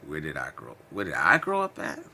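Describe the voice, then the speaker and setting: A man's voice: very deep voice, wildly swooping pitch, a little fast, vocal fry, sharp tone, slight background noise. An American man chatting casually as a livestream host, slangy and informal, repeating phrases for emphasis. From Connecticut, United States. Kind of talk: casual chat, livestream commentary answering viewer comments.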